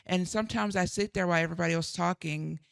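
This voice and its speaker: A woman's voice: lowish voice, fast talker, creaky, smooth, no room sound, studio quality. A middle-aged woman chatting with her mother, thoughtful and rambling, a little self-deprecating. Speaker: daughter and co-host. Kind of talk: casual chat.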